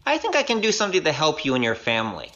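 A woman's voice: low-pitched, wildly swooping pitch, racing, a bit bright, muffled recording, exaggerated voice. Woman playing a wry young male trickster. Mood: wry scheming. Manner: wry, casual, scheming. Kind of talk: audio drama acting.

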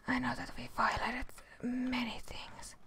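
A young woman giving whispered, breathy ASMR gameplay commentary up close to a studio microphone. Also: fairly high pitch, level tone, a little slow, fairly bright tone. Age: young adult. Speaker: gaming streamer. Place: indoors at a desk, close up. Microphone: large studio microphone up close, headset worn.

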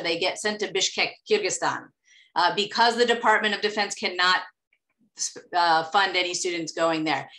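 A woman's voice: mid-range voice, sharp tone. A woman explaining programme details as an adviser in an online information session. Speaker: scholarship adviser. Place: indoors on a video call.

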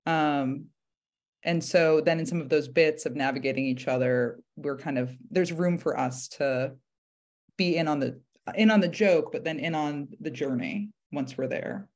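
A woman's voice: deep voice, a little slow, a little mellow. A woman talking casually as a panel guest on a video call, thoughtfully rephrasing as she goes.

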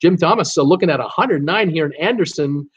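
A man's voice: fairly high pitch, fairly quick, slightly rough, muffled recording. Chatty man in his sixties on a webcam. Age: 60s. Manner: rambling, chatty, frequent "uh" fillers, animated hand gestures. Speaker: cruise travel YouTuber hosting a livestream. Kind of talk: casual live-stream chat reading viewer comments. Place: home office with shelves of cruise mugs, indoors.

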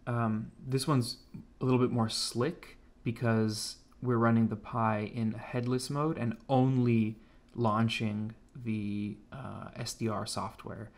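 A man's voice: medium pitch, flat delivery, slow pace, vocal fry. A man with an American accent giving a laid-back, informal tech demonstration as a hobbyist. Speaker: hobbyist presenter of an electronics project. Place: indoors at a home computer desk.

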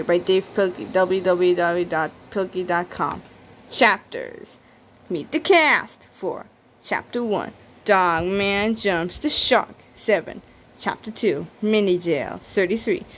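A woman narrates a children's book in a read-aloud style. Her voice sits at a middle pitch. There is a light layer of noise, as on a handheld recording made indoors.

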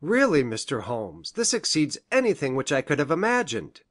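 A woman acts out an earnest, deferential doctor from a classic storybook, a gentleman with a lowered voice. The phrasing is formal and swings widely in pitch, in a dry recording. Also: very animated, normal speed, dry recording. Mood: amazed. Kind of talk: acted audiobook dialogue.

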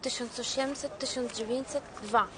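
A woman's voice: a little high, fairly even intonation, moderate pace, crowd noise, close to an everyday voice. An actress playing a vain, foul-mouthed older woman who talks big and boasts. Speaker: film character, a broke woman selling shoes. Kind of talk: film acting.

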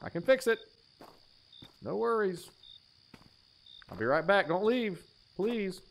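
A man's voice: high-pitched, animated, slow pace, creaky, rough recording. A man in his thirties giving crude, playful, exaggerated joking commentary as he streams a horror game.

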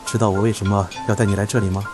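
A man's voice: lowish voice, little pitch movement, racing, natural voice. A man playing a proud, prickly young office worker who is evasive about love, in an amateur audio drama. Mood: fond, nostalgic. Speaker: amateur voice actor. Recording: loud music behind the voice.

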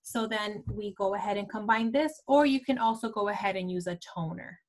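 A woman's voice: mid-range voice, fairly quick. A woman in her twenties giving a casual product training talk to her sales team, chatty and full of fillers like "like" and "um". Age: 20s. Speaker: team leader of a beauty sales team.

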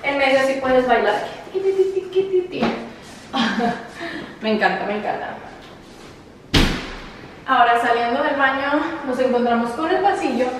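Young woman in very echoey rooms, high voice. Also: animated, measured pace, pauses often. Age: 20s. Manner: bubbly, enthusiastic, informal slang. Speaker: vlogger. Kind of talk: casual house tour vlog. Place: empty rooms of a house, indoors. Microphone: camera held by another person, few metres away. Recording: echoey empty rooms, distant mic, faint noise.

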